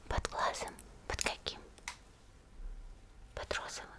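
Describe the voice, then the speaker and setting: Woman playing a whispering, friendly monster girl, gentle. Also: natural voice. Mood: gentle, attentive. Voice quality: soft breathy whisper. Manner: gentle, unhurried, murmuring to the listener. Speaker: ASMR video creator. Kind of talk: whispered ASMR roleplay.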